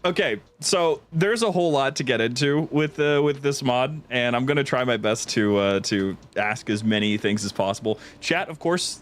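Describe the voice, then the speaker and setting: A man hosting a livestream, chatting casually and jokingly, with a tendency to laugh. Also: mid-range voice. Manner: jokey, breaks into laughter often. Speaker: livestream host. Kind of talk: casual chat over gameplay stream.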